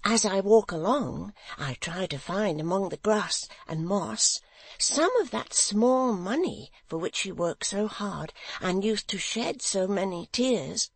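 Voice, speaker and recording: A woman's voice: mid-range voice, expressive, slow pace, slightly rough, dry recording, pitched above the performer's own voice, full character voice. A woman voicing an eager, simple-minded young man who talks in a breathless, wondering and pleading way. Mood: dreamy pleading.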